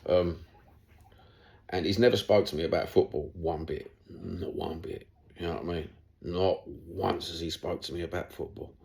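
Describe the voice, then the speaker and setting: A middle-aged Cockney man from London talks straight to his audience in a loose, rambling monologue. His voice sits very low and has a rough, gravelly fry. He is blunt and chatty, and he swears now and then. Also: steady tone, normal speed, darkish tone. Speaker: football YouTuber. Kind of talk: casual monologue to camera.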